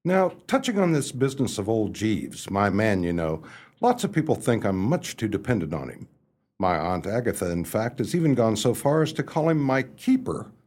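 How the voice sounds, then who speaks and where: Amiable muddled young gentleman bachelor, radio drama, breezy, animated intonation, slightly husky. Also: lowish voice, normal speed. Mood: breezy, confiding. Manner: chatty, breezy, theatrical upper-class phrasing. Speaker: narrator and lead character. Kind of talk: radio play acting, storytelling narration.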